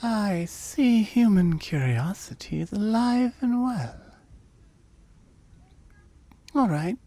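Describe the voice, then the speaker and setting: Breathy, low, teasing demon woman, acted by a woman. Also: mellow, full character voice. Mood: smug, seductive. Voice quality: breathy, often dropping into whispers. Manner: slow, coaxing, teasing. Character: seductive immortal female oni demon, smug and teasing. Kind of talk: intimate ASMR roleplay audio drama.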